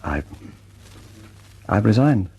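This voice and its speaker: An actor with an English accent playing a weary, mild, dutiful scientist in a radio drama, hesitant and politely understated. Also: male voice, lowish voice, big pitch swings, takes it slowly, gravelly, darkish tone, slightly rough, full character voice. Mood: weary, resigned. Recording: noisy old radio recording with clicks.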